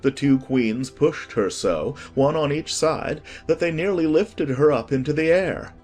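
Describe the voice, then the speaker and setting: A man narrating a scripted storybook in an expressive, deliberate way. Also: fairly high pitch. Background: music.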